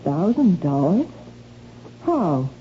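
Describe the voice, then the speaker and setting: An actress playing a guarded, defensive housewife with a guilty secret in a radio drama, curt and indignant. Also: female voice, on the low side, sing-song, slow, mellow. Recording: dull, noisy lo-fi radio recording.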